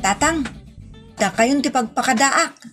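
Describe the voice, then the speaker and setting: A woman's voice: sits high, unhurried, fairly bright tone, faint music behind. A woman acting a brash, argumentative young woman who loves karaoke, in an Ilocano comedy audio drama, with a whiny, exclaiming delivery. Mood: whiny, defensive.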